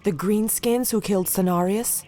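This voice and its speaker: An actress playing an earnest, deferential young night elf sentinel in a video game. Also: female voice, mid-range voice, normal speed, dark tone, natural voice. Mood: concerned. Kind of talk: video game cutscene acting.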